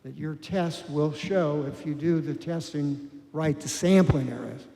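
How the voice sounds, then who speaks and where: A man with a somewhat high, warm voice presses his point earnestly at a council meeting. His words ring out with the long reverb of the chamber. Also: measured pace, vocal fry. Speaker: council member.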